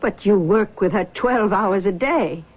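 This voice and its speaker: A woman's voice: mid-range voice, measured pace, natural voice. An actress as an anxious, fretful mother. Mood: worried. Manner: worried, pleading. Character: anxious middle-aged mother, fretful and protective. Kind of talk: 1970s radio drama acting. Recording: dull old radio broadcast.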